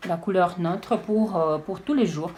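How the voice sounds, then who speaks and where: A woman chatting casually as she unboxes beauty products, hesitant and halting with frequent restarts. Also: on the low side, darkish tone, a little hiss or hum. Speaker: beauty vlogger.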